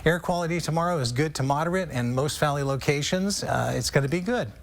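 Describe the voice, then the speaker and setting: A man's voice: fairly high pitch, fairly quick. A man with an American accent presenting a TV weather forecast in an explanatory, conversational way. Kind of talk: ad-libbed broadcast weather forecast.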